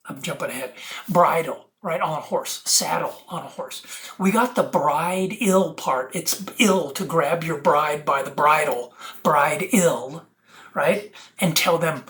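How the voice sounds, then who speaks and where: A speaker from the United States giving an earnest, preachy monologue as an activist presenter, halting and digressive, drawing out words and playing on them. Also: mid-range voice, fairly bright tone. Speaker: self-taught activist presenter. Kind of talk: rambling monologue to camera.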